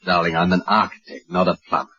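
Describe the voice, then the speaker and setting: An actor playing a reluctant, wary husband who grumbles drily, in old-time radio drama style. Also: male voice, sits low, fast. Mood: grumbling. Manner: dry, grumbling, expressive. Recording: dull lo-fi old radio recording.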